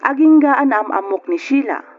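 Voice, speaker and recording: An actress playing a heartsick letter writer who narrates a sad life story in an old radio drama. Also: female voice, high-pitched, lively intonation, normal speed. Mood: sorrowful. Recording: dull lo-fi radio, music underneath.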